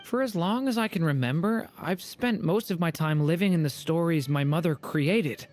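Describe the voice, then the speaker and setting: A woman's voice: fairly low pitch, moderate pace, a little mellow, soft background music, lightly acted. A woman playing an earnest, naive young dragon child in a fantasy game, speaking in a thoughtful, wondering way. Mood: wondering, reflective.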